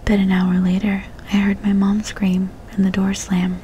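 A woman narrates a dark story entirely in a soft, unvoiced whisper. Her delivery is flat and even, with a mellow, muted tone and a faint hiss of ambience behind her. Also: medium pitch, moderate pace.

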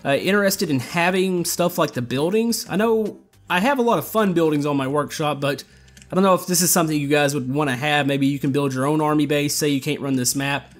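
A young man hosting a game video, giving a relaxed, rambling tour of his build with plenty of pauses and fillers. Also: a little high, faint music behind. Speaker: gameplay channel host. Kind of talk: casual game walkthrough commentary.

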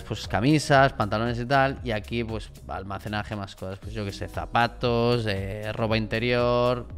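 Guy, 30s, chatty and relaxed, talking at his desk mic, bit of music behind. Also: medium pitch. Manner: relaxed, chatty, gestures a lot while explaining. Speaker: vlogger and designer. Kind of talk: casual explanatory vlog. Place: small room at home, indoors. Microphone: large studio mic on boom arm.

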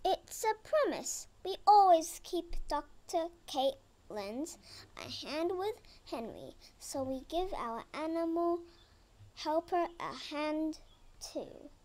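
A girl reading a children's picture book aloud, slowly and carefully, sometimes stumbling over words. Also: high voice, big pitch swings, darkish tone. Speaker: reader.

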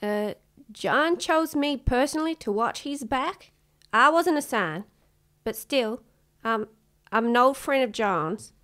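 A determined ranch woman in a western radio serial. Her voice is high and her delivery firm. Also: expressive, slow, fairly bright tone. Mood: worried. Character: tough female ranch owner.

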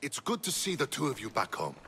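An actor playing a grave, proud middle-aged Spartan general in a video game, measured and earnest. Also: male voice, medium pitch, wildly swooping pitch, bright, forceful tone, a little hiss or hum, exaggerated voice. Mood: grave, courteous. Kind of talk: video game cutscene acting.

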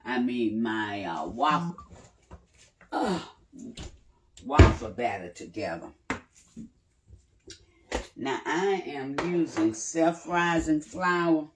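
An older woman, chatting in an unhurried way as she cooks in her home kitchen and explains each step. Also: a bass voice, expressive, background noise. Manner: unhurried, chatty, talks while working with pauses and fillers. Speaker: home cooking channel host. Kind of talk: casual cooking demonstration. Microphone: phone camera a few feet away on the counter.

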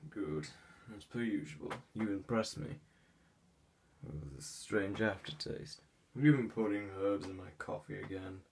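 A haughty, sick young heir, played by a man in an ASMR roleplay talks in a formal, hesitant way, stuffy with a cold. His voice sits low and unhurried. The mic is a little distant, with faint hiss behind. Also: creaky.

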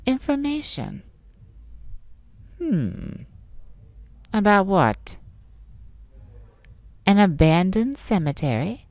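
A woman voicing a flirtatious, cunning male vampire in a slow, soft-spoken, teasing ASMR roleplay. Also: medium pitch, big pitch swings, dry recording, exaggerated voice. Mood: wry bargaining.

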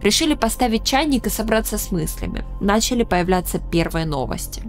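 Young woman narrating solemnly over soft music. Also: medium pitch, lively intonation, a little fast. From Ukraine. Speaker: channel host. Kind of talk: scripted personal narration. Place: small indoor room with a studio mic. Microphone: studio microphone in frame.

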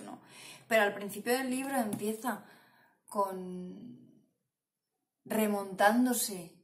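A young woman with a Castilian Spanish accent chatting casually and rambling informally to the camera as a book vlogger. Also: medium pitch. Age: young adult. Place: small indoor room at home. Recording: echoey room, clear background noise.

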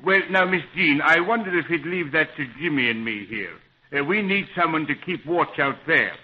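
An actor playing a plucky, good-natured Irish pilot in a 1930s radio serial, with a husky voice and a stage Irish accent. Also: male voice, a little high, expressive, normal speed, slight background noise, natural voice. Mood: brisk, planning. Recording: tinny band-limited 1930s radio recording.

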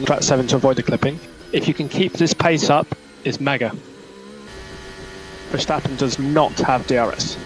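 A man working as a race engineer, giving clipped, calm, repeated instructions over team radio. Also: medium pitch. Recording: noisy motor racing radio, engine noise. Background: car engine noise.

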